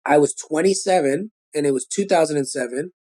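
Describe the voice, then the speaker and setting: Animated Brooklyn-accented man. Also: on the high side, fairly quick. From Brooklyn, New York. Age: 40s. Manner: animated street-style storytelling, slangy. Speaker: guest rapper. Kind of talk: casual interview chat.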